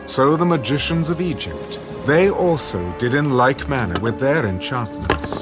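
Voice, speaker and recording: A man narrating a dramatised Bible reading in a solemn, measured storytelling way. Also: medium pitch, animated. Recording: prominent music under the voice. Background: loud background music.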